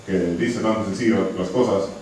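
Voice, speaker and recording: A man's voice: fairly low pitch, moderate pace, a little mellow. A man from Estonia speaking with an Estonian accent, giving a conference talk in a hesitant, friendly way with frequent restarts. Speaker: conservation researcher giving a talk. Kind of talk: conference presentation. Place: lecture hall. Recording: very echoey hall from far off, faint noise.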